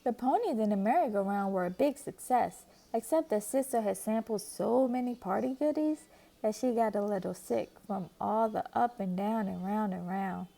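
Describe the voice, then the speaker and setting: Gravelly woman reading a storybook. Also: medium pitch, normal speed, rough recording. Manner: expressive, lively narration. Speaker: storybook reader. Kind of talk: children's book read-aloud.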